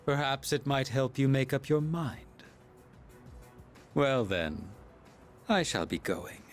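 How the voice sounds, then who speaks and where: An actor playing a cool, cryptic man in a video game, speaking formally and with calm menace. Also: mid-range voice, faint music behind. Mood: calm, ominous. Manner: formal, measured, soft-spoken at times.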